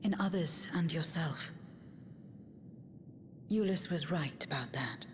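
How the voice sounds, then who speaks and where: An actress playing a steadfast, earnest fantasy adventurer in a video game, speaking in a measured way. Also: female voice, deep voice, a little hiss or hum. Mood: sincere. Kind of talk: scripted video game acting. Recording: game music behind.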